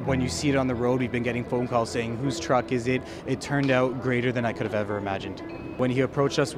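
A man who is an equipment dealer, speaking fluently and enthusiastically in a casual interview. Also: medium pitch. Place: indoor trade show hall.

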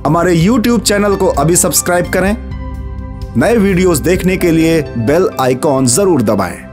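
A man's voice: on the high side, animated, unhurried, a little mellow, soft background music. A man reading a scripted promotional announcement for a video channel.

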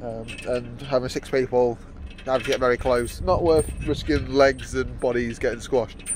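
A man's voice: mid-range voice, steady tone, moderate pace. A young man vlogging casually from his workshop yard, rambling with many fillers and restarts. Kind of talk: casual vlog narration.

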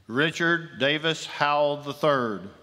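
A man reading graduates' names at a commencement in a slow, measured, ceremonial manner at a podium microphone. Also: a little high, bright, forceful tone. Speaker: commencement name reader. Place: large auditorium with long reverb. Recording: long hall reverb.

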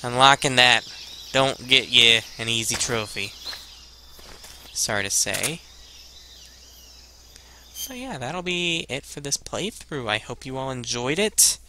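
Slow, bright-voiced young man rambling over gameplay. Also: mid-range voice, animated, a little hiss or hum. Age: young adult. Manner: rambling, halting, muttering to himself. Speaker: let's play host. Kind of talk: casual gameplay commentary.

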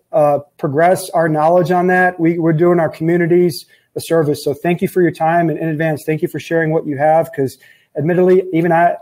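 A man in his forties hosts a health podcast from his office, upbeat and joking as he rolls through long introductions. His voice sits a little high yet stays warm and soft-edged. It comes through an earbud mic on a video call. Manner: upbeat, jokey, reads intro lists. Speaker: podcast host, chiropractor. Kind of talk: casual interview chat. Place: office with framed diplomas, indoors. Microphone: earbud headset on a video call.

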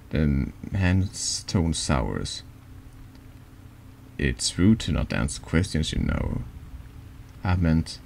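A deep-voiced man reading horror game dialogue aloud in an ASMR-like style, halting and stumbling over words now and then. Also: creaky, darkish tone, slight background noise. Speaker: streamer reading a text adventure game aloud. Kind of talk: slow narrated read-aloud of horror story dialogue, ASMR-like.

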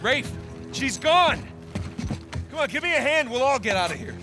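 Loud music behind, defiant American adventurer, high male voice, urgent and emphatic, gutsy loyal hero, video game cutscene acting. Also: big pitch swings, moderate pace.

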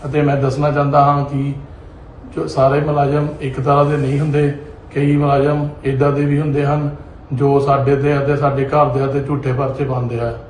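Young guy, pretty monotone, echoey room, bit of noise. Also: medium pitch, brisk. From Punjab, India. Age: young adult. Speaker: complainant. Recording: roomy echo, noisy amateur recording.

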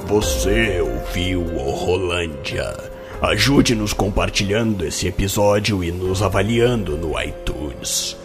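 Slow, lowish Brazilian podcast announcer, male. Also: expressive, over music. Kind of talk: scripted outro.

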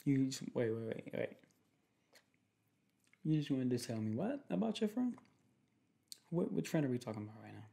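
Laid-back young man, mellow creaky voice. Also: mid-range voice, expressive. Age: young adult. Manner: slangy, laid-back, halting with repeated phrases. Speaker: ASMR creator playing a protective boyfriend. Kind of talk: ASMR boyfriend roleplay, casual one-sided chat. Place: small home room, indoors.

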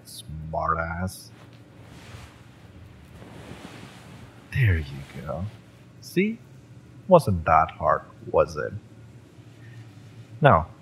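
Man acting a cocky, low-voiced male mercenary. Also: fairly bright tone, slight background noise, heavily acted, poor audio. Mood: menacing, commanding. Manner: smirking, taunting, drawn-out delivery with chuckles. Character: cocky, fiery male mercenary, taunting and teasing. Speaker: voice actor in romance audio roleplay. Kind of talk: acted audio roleplay monologue.

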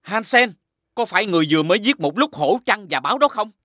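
Casting: folk-tale king, authoritative and stately, lively bright voice, dry studio sound. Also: sits high, fast, dry recording, heavily acted. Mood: commanding. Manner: stately, commanding. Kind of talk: animated fairy-tale dialogue.

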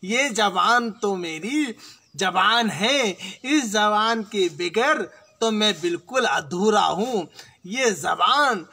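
A male folk performer playing a boastful, jolly frog king, loud and laughing, outdoors. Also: high voice, lively intonation, exaggerated voice. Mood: playful. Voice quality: gravelly, laughing often. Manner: loud, playful, drawn-out bragging. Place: outdoors among trees.

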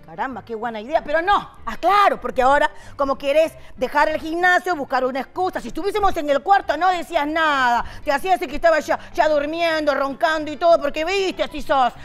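A woman playing a shrill, nagging older wife. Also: a little fast, hardly any pauses, faint music behind, natural voice. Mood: scolding. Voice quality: high, shrill and scolding, with a voseo lilt. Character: bossy older wife Pascualina, nagging and scolding her husband. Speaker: comedic actress in a sketch. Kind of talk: sitcom sketch acting. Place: TV studio set, indoors. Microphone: lapel mic.

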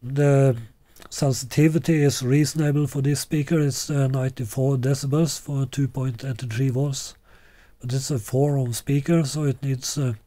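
A man in his sixties presenting a product in an unscripted way, halting and deliberate with long hesitations. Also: mid-range voice, fairly even intonation, dark tone, no room sound. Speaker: loudspeaker designer presenting a product. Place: small living room, indoors.

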